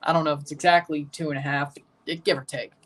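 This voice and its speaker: A man's voice: on the high side, fairly even intonation, fast talker, fairly bright tone. Young man joking through a live match. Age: 20s. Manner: casual, joking banter with viewers. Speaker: livestream host. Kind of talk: live match watchalong commentary.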